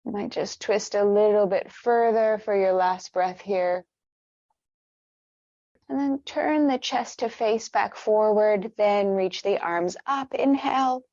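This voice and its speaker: A woman leading a guided meditation with stretches, speaking in a gentle, soothing, unhurried manner. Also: medium pitch, no echo, highs cut off.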